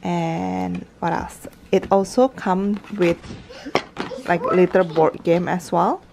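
A woman's voice: lowish voice, steady tone, takes it slowly, vocal fry, background noise. A young mother chatting through a home vlog in her living room, explaining toys step by step in a casual, talkative way, recorded on a handheld camera. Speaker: parenting vlogger, mother. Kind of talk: casual how-to vlog narration. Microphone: handheld camera filming from above, speaker off screen.

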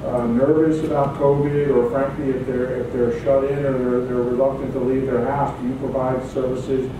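A man's voice: mid-range voice, little pitch movement, noisy background. Middle-aged man moderating in an echoey hall. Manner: friendly, halting, with restarts. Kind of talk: moderating a public panel. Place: church hall. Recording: echoey hall, distant, humming.

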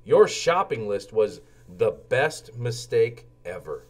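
A man voicing a kindly, gently firm mother cat in a children's storybook, with a measured, motherly manner. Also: medium pitch, big pitch swings, slight background noise. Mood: pleased.

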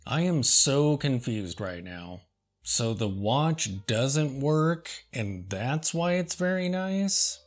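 A man hosting a comedy show, reading product reviews aloud and answering them with deadpan sarcasm. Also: medium pitch, soft background music. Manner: dry, sarcastic, slow and deliberate. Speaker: host.

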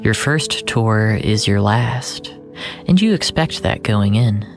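A man narrating first-person fiction in a deliberate, brooding, dramatic way, drawing out his phrases. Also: fairly low pitch, vocal fry. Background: music.

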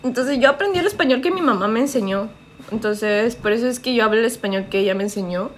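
A woman's voice: a little high, fast talker. Chatty young woman streaming from home. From Japan. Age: young adult. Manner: chatty, laughs often, reads out chat comments. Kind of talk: casual live stream chat. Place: small living room, indoors.

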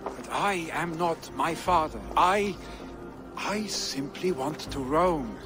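Young Viking jarl's son, earnest, restless, unhurried delivery, game cutscene, music underneath. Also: male voice, on the high side. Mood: restless, frustrated. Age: young adult. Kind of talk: video game cutscene acting.

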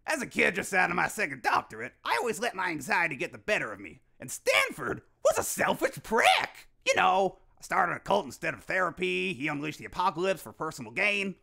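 A man voicing an eccentric, excitable old hillbilly inventor for a cartoon, folksy and erratic. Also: high-pitched, fairly quick, creaky, hardly any pauses, full character voice. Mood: candid, defensive. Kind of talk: cartoon character acting.

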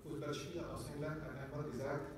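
A middle-aged man, not a native speaker, giving a formal presentation at a lectern, halting with many hesitations and restarts. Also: fairly high pitch, level tone, slight background noise. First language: not German. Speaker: guest speaker. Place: large lecture hall. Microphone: lectern mic, recorded from far in the audience. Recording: distant echoey hall recording.

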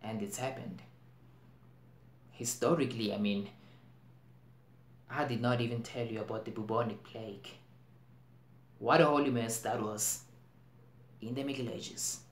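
A man playing an anxious, intense worrier in a dramatic stage monologue, in deliberate broken phrases with emphatic swells. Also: mid-range voice, fairly even intonation, natural voice. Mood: grimly insistent. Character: anxious, intense man brooding on global plagues. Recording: roomy echo, faint background noise.

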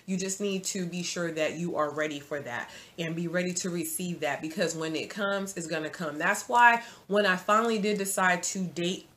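A woman in her forties giving casual dating advice to viewers from her home, chatty and animated, calling the viewer sis. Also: fairly low pitch, moderate pace, few pauses. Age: 40s. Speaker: vlogger. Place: home room, indoors.

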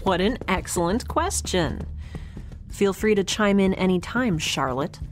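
A woman's voice: medium pitch, animated, unhurried, slight background noise, close to an everyday voice. A woman playing a wry, curious, stubborn young spaceship dweller in a naturalistic sci-fi audio drama, rambling and hesitant with sarcastic asides. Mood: rambling, thoughtful.

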